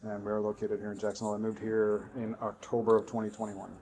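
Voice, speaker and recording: A man's voice: lowish voice, completely flat delivery, fast, darkish tone. A man who grew up in Zambia, Tanzania and Norway, a podcast guest telling his story in a chatty way. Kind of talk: casual interview talk.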